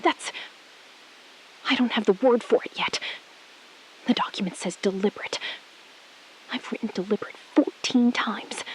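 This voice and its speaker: A woman playing a resolute, shrewd astronaut in an audio drama, speaking in a controlled, deliberate way and repeating phrases for emphasis. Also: medium pitch, in a whisper, natural voice, rough recording. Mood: grave, precise. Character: resolute, shrewd female astronaut exposing a cover-up. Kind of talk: scripted audio drama, hushed dramatic phone-call acting.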